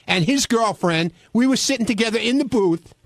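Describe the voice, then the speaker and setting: A man's voice: high-pitched, expressive, normal speed, no echo, clean studio sound. A man who is a radio show regular, arguing in a blunt, combative and indignant way, unscripted. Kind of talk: heated unscripted radio argument.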